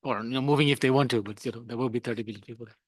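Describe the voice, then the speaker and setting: Man, quick pace, informal, self-correcting, academic questioner, conference floor, dry sound. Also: medium pitch, fairly even intonation, no room sound. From Brazil. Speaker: academic asking from the audience.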